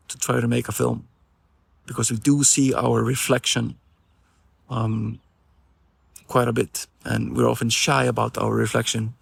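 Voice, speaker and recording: A man from Iceland teaching an online filmmaking class in a relaxed, gently encouraging way, with some fillers when he talks off the cuff. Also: mid-range voice, gravelly, slightly rough, dry recording. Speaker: film teacher. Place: outdoors in nature. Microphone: phone recording nearby.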